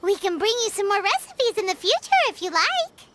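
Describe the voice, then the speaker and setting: A woman's voice: very high-pitched, sing-song, moderate pace, background noise. A woman voicing a tiny, bubbly female fairy-like companion in a game cutscene, speaking of herself in the third person. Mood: cheerful. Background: game music.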